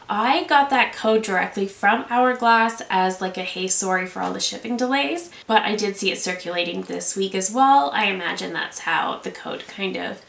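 A woman's voice: medium pitch, creaky, fairly bright tone, echoey room. A woman in her thirties with a congested cold voice, reviewing and applying makeup in a chatty, enthusiastic way. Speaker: beauty vlogger. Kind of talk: product review and makeup tutorial. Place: indoor room, seated at a plain backdrop.

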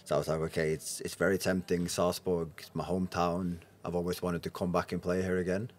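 Very deep-voiced young man. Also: level tone, brisk. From Norway. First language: Norwegian. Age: 20s. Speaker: podcast guest, professional footballer. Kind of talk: casual podcast conversation. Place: indoor lounge booth. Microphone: podcast microphone on boom arm.

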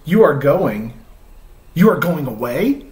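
An anxious, needy storybook elephant, acted out by a man, swinging widely in pitch. He pleads theatrically and draws things out for a best friend. The room adds a noticeable echo. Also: big pitch swings, darkish tone. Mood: alarmed. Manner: dramatic, pleading, drawn-out repeated questions. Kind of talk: animated children's storybook read-aloud. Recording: roomy indoor echo.

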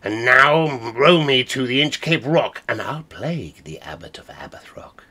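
A man's voice: mid-range voice, expressive, measured pace, voice pushed up. Man acting a wicked, commanding pirate captain. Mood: commanding, scheming. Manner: loud, commanding, theatrical. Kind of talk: dramatic ballad recitation.

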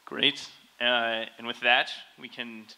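A man hosts questions at a conference, keeping it informal. His voice is strikingly bright and forceful, with a gravelly creak running through it. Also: mid-range voice, fairly even intonation, slow pace, poor audio. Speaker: session moderator. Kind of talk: informal event moderating. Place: conference hall.

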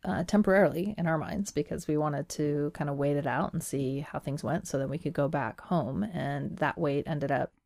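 A woman's voice: low-pitched, normal speed, creaky, few pauses, darkish tone. A woman in her forties, from Iran, telling her life story as a podcast guest with wry humor and frequent laughs. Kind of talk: casual interview conversation.

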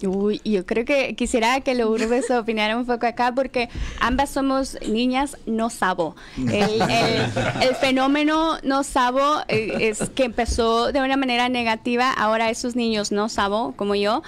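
A woman's voice: fairly high pitch, steady tone, moderate pace, slight background noise. A woman raised in the United States, talking as a guest in a casual panel discussion, laughing often and restarting her sentences.

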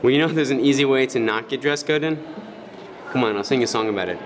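A man's voice: medium pitch, fast. A young man delivering scripted sketch dialogue. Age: teens or young adult. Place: indoor bench against block wall. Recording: loud guitar music with voice.

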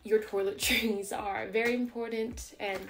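A woman's voice: medium pitch, fairly even intonation, a little slow. A young woman in her twenties chatting casually in a show-and-tell fitness vlog at home, upbeat, with small laughs and loose asides. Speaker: fitness vlogger. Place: bedroom at home, indoors.

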